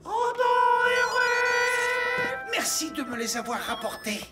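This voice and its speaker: Cartoon dub: simple toy robot, clipped halting phrases, high pitch, distant, loud music. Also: female voice, animated, echoey room, full character voice. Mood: grateful.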